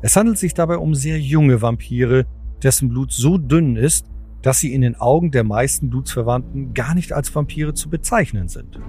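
A male narrator tells a scripted audio drama story. His voice sits at a middle pitch, with music playing behind him. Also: moderate pace, warm tone. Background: clear background music.

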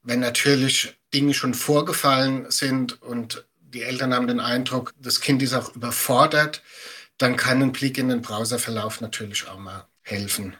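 A middle-aged man giving measured, practical explanations as an expert guest in an interview. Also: mid-range voice, fairly even intonation, bright, forceful tone, husky. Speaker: media education expert, podcast guest.

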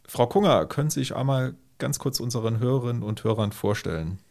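A man's voice: mid-range voice, animated, a little fast, vocal fry, darkish tone. A man interviewing a guest on a podcast, asking polite, conversational questions.

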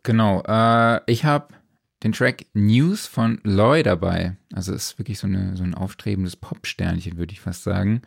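A man's voice: fairly low pitch, vocal fry, no room sound, studio quality. A man co-hosting a podcast in a casual, conversational style. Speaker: podcast co-host. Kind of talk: casual chat.

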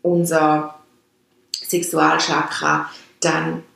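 A woman's voice: deep voice, little pitch movement, very slow, gravelly, a bit bright. A woman from Switzerland whose first language is Swiss German, speaking Standard German with a Swiss accent. She explains chakras in casual talk and often wanders off the topic. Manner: rambling, with restarts and digressions. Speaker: chakra teacher and podcast host. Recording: very echoey room.

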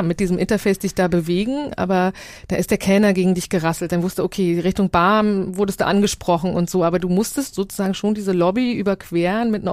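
A woman's voice: mid-range voice, a little mellow, dry recording. A woman in a casual podcast discussion, explaining things eagerly and often adding tag questions. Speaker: podcast panelist.

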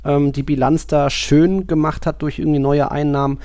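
A man's voice: fairly high pitch, flat delivery, moderate pace, mellow, dry recording. A man co-hosting a football podcast, talking in a colloquial, wry, rambling way. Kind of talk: casual podcast discussion.

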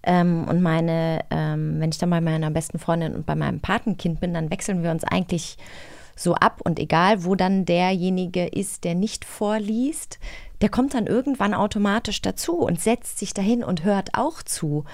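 Woman, lowish pitch, very dry recording, conversational co-host. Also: normal speed, a little mellow, no echo. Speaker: magazine co-host. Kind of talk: conversational hosting.

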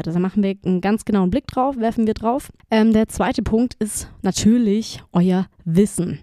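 A woman's voice: mid-range voice, warm tone, no room sound, clean studio sound. A woman hosting a podcast solo, slightly stuffed up from a cold, talking informally straight to her listeners with English words mixed in. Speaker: podcast host. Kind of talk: casual solo podcast talk with tips.

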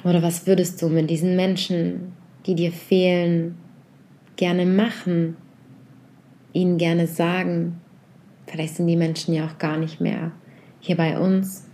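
A woman leading a guided reflection meditation, speaking softly and unhurriedly as she puts a series of gentle questions directly to the listener. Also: on the low side, level tone, measured pace, a little hiss or hum.

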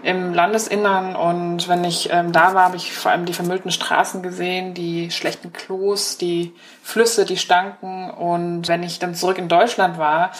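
A woman's voice: lowish voice, level tone, normal speed, few pauses. A woman in her thirties who grew up in Germany, a correspondent thoughtfully recounting personal memories over the phone in an interview. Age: 30s. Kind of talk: reflective interview answers. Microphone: telephone.

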